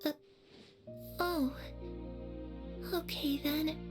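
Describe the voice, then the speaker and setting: A gentle, wistful young girl from a dramatic video game. Her voice is very high and childlike, and she talks slowly and earnestly. Faint music sits behind her. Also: fairly even intonation. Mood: calm, trusting. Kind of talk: dramatic scripted game dialogue. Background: background music.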